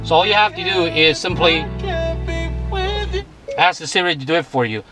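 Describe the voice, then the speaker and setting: A man in his forties giving a halting, repetitive product demonstration as presenter and seller. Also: on the high side. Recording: music playing behind the voice. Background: music.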